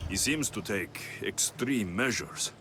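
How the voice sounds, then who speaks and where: An actor playing a bold ancient Greek mercenary hero in a video game, speaking in a direct, probing and firm way. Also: male voice, lowish voice, lively intonation, takes it slowly. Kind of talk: dramatic video game acting. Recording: game cutscene with music behind.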